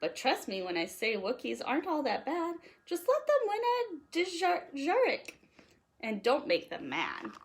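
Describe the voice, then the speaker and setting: A woman reading a children's picture book aloud in an animated, playful way, leaning on the rhymes. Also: high-pitched, measured pace. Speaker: storytime host reading aloud.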